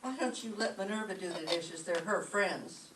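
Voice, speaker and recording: A woman playing a wry, sardonic high school teacher in an old-time radio comedy, with wisecracking delivery. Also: moderate pace, natural voice. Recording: echoey stage, distant mic, faint noise.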